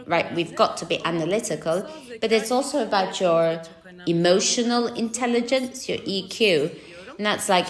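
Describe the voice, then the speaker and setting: A woman's voice: fairly low pitch, reverberant. Woman speaking on a panel. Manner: loose conversational, full of "like" and "kind of". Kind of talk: conference panel talk. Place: conference stage.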